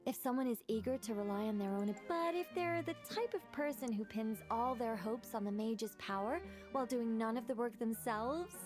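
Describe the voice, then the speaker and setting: A woman's voice: fairly high pitch, animated, moderate pace, hardly any pauses, close to an everyday voice. A woman voicing a poised, formal, aloof and stern mage in a video game. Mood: composed, stern. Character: poised, stern, graceful female mage with high standards. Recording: game audio with music behind.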